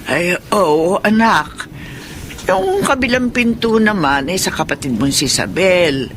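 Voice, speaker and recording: A woman playing a gentle, apologetic elderly mother in a radio drama, with a halting, motherly way of talking. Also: fairly low pitch, very animated, a little hiss or hum. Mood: gentle, reassuring.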